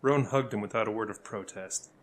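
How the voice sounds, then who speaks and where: A man reading scripted fantasy narration as a storyteller, with dramatic, deliberate delivery. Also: lowish voice.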